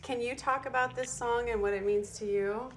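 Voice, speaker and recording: A woman's voice: on the high side, fairly even intonation, moderate pace, fairly bright tone, a little hiss or hum. A woman podcast host interviewing a singer, asking admiring questions.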